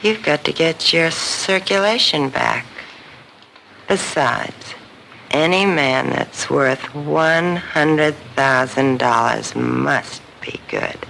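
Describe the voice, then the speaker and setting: A slow-talking gravelly vamp from an old movie, kinda bright, hissy clip. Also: female voice, a bass voice, exaggerated voice, rough recording. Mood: teasing. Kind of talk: 1960s film dialogue. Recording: film clip with faint background hiss.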